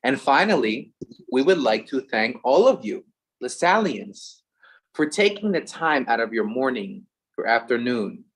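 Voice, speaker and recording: A man's voice: medium pitch, big pitch swings, a little slow, breathy edge, poor audio. A man with a Philadelphia accent co-hosts an online event. He has a mid-range voice and moves between scripted thanks and casual asides. He drops in short Spanish phrases as he goes. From USA. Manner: warm, humble thanks sprinkled with Spanish phrases.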